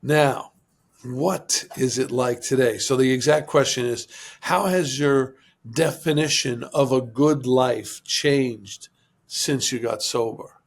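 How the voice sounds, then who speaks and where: A man's voice: medium pitch, vocal fry. Guy hosting a podcast, keeps saying cool and right right, super encouraging. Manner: encouraging, quick affirmations. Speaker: podcast host. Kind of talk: interview.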